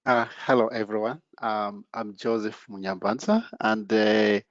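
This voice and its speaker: Man, from Congo, raised in Uganda, online panelist, halting speech full of fillers, deep monotone voice, dry muffled call audio. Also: no echo. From Democratic Republic of the Congo, raised in Uganda. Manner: halting, filled with "uh" and "you know". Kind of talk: personal story on an online panel.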